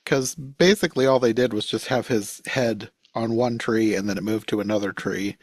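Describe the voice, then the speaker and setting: Lowish-voiced man, close dry sound. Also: normal speed, dry recording. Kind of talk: casual review chat.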